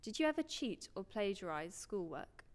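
A woman's voice: mid-range voice, big pitch swings, moderate pace. A woman off camera asking short, matter-of-fact interview questions.

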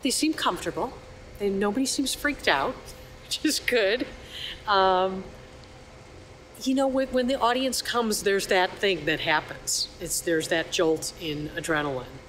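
A woman's voice: mid-range voice, unhurried, fairly bright tone, poor audio. A woman from the theatre talking reflectively in an interview. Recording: faint background noise.

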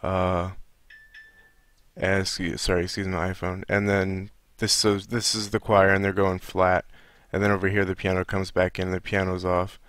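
A sleepy man giving a casual, improvised walkthrough, hesitant and low-key as he thinks aloud. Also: little pitch movement, moderate pace, fairly bright tone, smooth, no room sound. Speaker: audio editing tutorial presenter.

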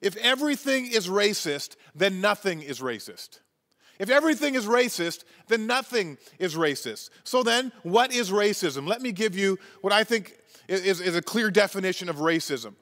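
A Canadian pastor in his forties preaches with a high, bright voice that has a forceful edge. He is earnest and emphatic, pressing each point home. His words ring out with a long reverb from the church hall. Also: animated, moderate pace. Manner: earnest, emphatic, gestures constantly while preaching. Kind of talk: sermon. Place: church stage with wooden wall, large hall.

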